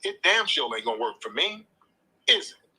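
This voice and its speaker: A man's voice: medium pitch, very animated, normal speed, sharp tone, husky. A man hosting a live call-in show, lecturing and arguing combatively, swearing freely and talking over the caller. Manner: combative, lecturing, repeats points. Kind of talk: heated live call-in argument.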